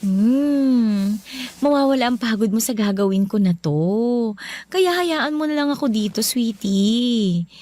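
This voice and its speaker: A bold, insistent young wife. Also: female voice, fairly high pitch, expressive, slow pace, warm tone, dry recording. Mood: teasing. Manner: teasing and insistent, then indignant. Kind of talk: radio drama acting. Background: background music.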